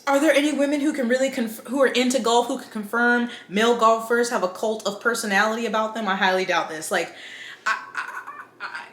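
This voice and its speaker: A woman's voice: on the high side, fairly quick, a bit bright, roomy sound. A woman book vlogger giving casual, chatty opinion commentary with wry, incredulous asides.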